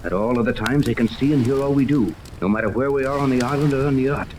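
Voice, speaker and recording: A confident, take-charge captain, acted by a man in a vintage radio adventure. He talks urgently and very quickly, with clear noise from the old recording. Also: medium pitch, lightly acted. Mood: urgent, hushed scheming. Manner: urgent, commanding. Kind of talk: 1930s radio serial acting.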